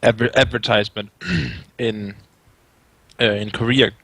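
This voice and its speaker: A man's voice: on the low side, little pitch movement, a little slow, vocal fry, a bit bright, no echo. A man from Denmark with a Danish accent, asking questions hesitantly and searching for words.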